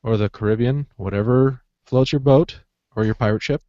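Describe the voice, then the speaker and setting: A man's voice: medium pitch, normal speed, dry recording, dull audio. A man co-hosting a podcast, chatting casually about books and films in a jokey, rambling way. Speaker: podcast co-host.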